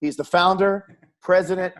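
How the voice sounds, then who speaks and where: A man's voice: high voice, steady tone, normal speed. A man hosting an upbeat sports chat show with a playful, teasing manner. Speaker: talk show host, sports play-by-play announcer.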